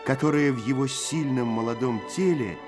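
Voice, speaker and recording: An actor narrating a Soviet radio play in a lyrical, literary way, with measured, expressive phrasing. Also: male voice, mid-range voice, music in the background, natural voice. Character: male storyteller, lyrical and unhurried.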